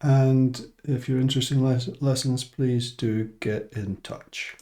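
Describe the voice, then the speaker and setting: A man's voice: mid-range voice, steady tone, takes it slowly, mellow. A Scottish man who teaches English and works in science, giving an informal explanatory talk to viewers. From Scotland.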